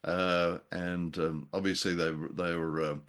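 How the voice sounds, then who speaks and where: Male podcast co-host, deep voice, jocular and wordy, casual reviewer style. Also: little pitch movement, a little slow, a bit bright.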